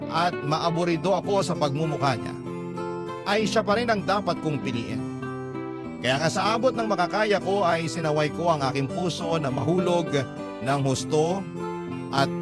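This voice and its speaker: Radio narrator: a man reading as a lovestruck, discontented young mother confessing, higher register, prominent music bed. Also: close to an everyday voice. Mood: confessional.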